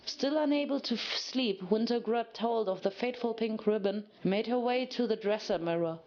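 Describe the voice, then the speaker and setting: A woman's voice: fairly high pitch, normal speed, a little mellow, dull audio. Woman narrating a dramatic story.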